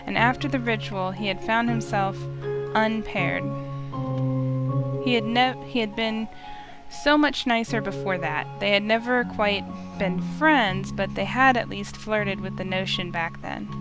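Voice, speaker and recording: A woman streamer reading a visual novel's narration aloud like a storybook during a playthrough. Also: mid-range voice. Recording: loud music under the voice. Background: game music.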